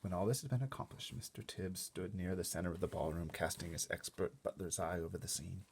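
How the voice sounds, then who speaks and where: A man reading a children's book aloud in an expressive, often hushed way, adding casual explanatory asides. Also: low-pitched, brisk, gravelly, breathy edge. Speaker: storybook reader.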